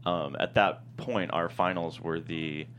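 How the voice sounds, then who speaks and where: A man being interviewed as a guest, talking casually and explaining things in a halting way full of fillers. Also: low voice, steady tone, a bit bright. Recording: noisy room with hum.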